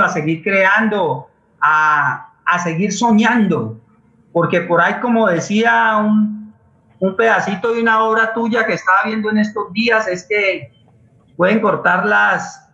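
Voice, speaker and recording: A man's voice: sits high, a little slow, sharp tone, echoey room, lo-fi sound. A middle-aged man with a Colombian accent, speaking earnestly and emphatically in an impassioned conversational style as a guest at a radio forum. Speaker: playwright and community theatre leader, interview guest.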